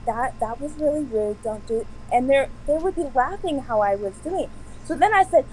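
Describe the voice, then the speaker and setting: A woman with a soft voice chatting casually on a video call, laughing often as she tells stories. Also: high voice, lively intonation, brisk, a little hiss or hum, poor audio. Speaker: stream host. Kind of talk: casual group chat.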